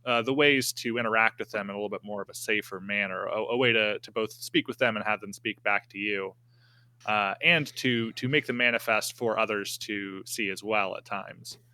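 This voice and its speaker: A man playing a tabletop game, casually improvising his character's story in a halting, think-aloud way. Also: on the low side, sharp tone, smooth.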